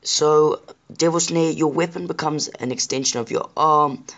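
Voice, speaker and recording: A man with a sore throat and a slightly rough voice, chatting casually to viewers while he plays a survival game. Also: on the high side, moderate pace, fairly bright tone, lo-fi sound. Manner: chatty, apologetic, addresses viewers directly. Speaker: gaming channel host. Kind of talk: casual gameplay commentary.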